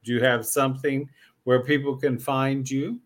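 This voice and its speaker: A man's voice: medium pitch, flat delivery, normal speed. A man hosting a podcast as a casual chat, effusive and joking, often repeating his phrases. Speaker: podcast host.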